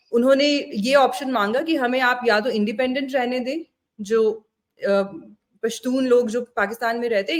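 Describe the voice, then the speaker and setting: An Indian woman hosting a political interview, speaking conversationally and mixing in English words. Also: a little high. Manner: mixes Hindi-Urdu with English words. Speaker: interview host.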